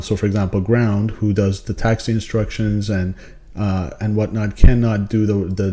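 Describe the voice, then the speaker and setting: Low, mellow-voiced man explaining casually. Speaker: tutorial host. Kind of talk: casual tutorial narration.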